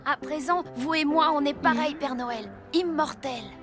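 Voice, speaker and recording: A cheerful young boy from a cartoon, eager and sincere. The voice sits high, with music clearly behind it. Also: measured pace, in a large hall. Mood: elated. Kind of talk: French animated series dubbing.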